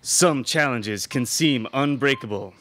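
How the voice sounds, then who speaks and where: A young man playing a portentous movie-trailer announcer with a grandiose, drawn-out delivery. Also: mid-range voice, pushed and bright, faint music behind. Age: young adult. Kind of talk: parody trailer narration. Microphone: microphone on stand.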